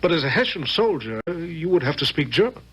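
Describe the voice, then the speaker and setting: An actor playing a grave, probing, thoughtful army general, speaking with measured authority in a radio drama. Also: male voice, on the high side, sing-song, fairly bright tone, breathy edge, heavily acted. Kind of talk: 1970s radio drama acting. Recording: noisy vintage radio recording with hum.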